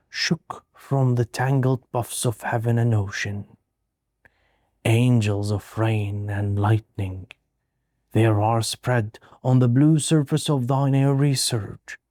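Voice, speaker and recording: Male poetry reader, medium pitch, solemn and measured delivery with deliberate pauses, warm relaxed tone, dry recording. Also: no echo. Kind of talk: slow dramatic poetry recitation.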